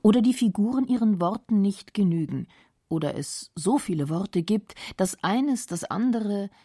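A woman narrating a radio feature in a breathy, deliberate way. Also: mid-range voice, animated, mellow. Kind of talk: scripted narration.

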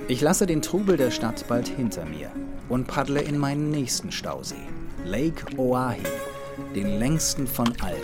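A man's voice: mid-range voice, sing-song, a little slow, music in the background. A man narrating a scripted travel documentary as the journey's own reporter.

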